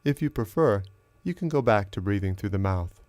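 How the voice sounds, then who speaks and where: Male meditation teacher, fairly low register, measured instructional delivery with generous pauses, very dry recording. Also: animated, a little mellow, no echo. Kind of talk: guided meditation narration.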